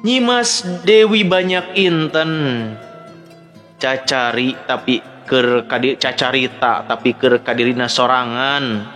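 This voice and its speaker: A man's voice: medium pitch, slow pace, pushed and bright. A man with a Sundanese accent narrating a dramatic story as a storyteller. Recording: background music under the voice. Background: music.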